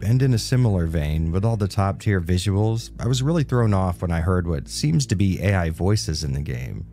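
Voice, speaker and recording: Deep-voiced man, nasal from a cold. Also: lively intonation, moderate pace, warm tone, faint music behind. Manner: casual, wry and joking. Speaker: video game reviewer. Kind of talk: scripted review voiceover.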